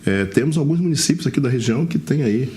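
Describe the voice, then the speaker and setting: A man's voice: mid-range voice, expressive, quick pace, warm tone, a little hiss or hum. A Brazilian man hosting a television interview segment, addressing the viewers and his guest. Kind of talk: broadcast interview.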